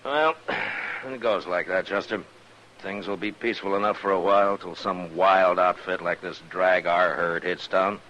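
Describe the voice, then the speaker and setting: Very deep-voiced frontier marshal. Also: male voice, steady tone, bright, forceful tone, highs cut off. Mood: calm, weary. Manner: plain-spoken, measured, folksy. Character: firm, weary frontier US Marshal, steady and unflinching. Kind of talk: old-time radio western acting. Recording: hissy old radio recording.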